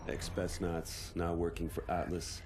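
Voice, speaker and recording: An actor playing a grave soldier who narrates a video game story in a terse, understated way. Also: male voice, deep voice, monotone, measured pace. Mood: grave, reflective.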